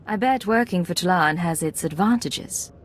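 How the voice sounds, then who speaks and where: An actress playing a poised, persuasive secret agent in a video game, controlled and smooth-talking. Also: female voice, lowish voice, measured pace, slight background noise, close to an everyday voice.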